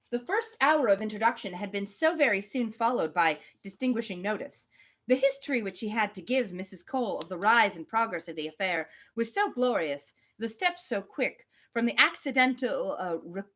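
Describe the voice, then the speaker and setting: A woman's voice: mid-range voice, fairly bright tone. A woman hosting a live book reading, reading expressively and dropping in casual, amused asides. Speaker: host reading a novel on a livestream.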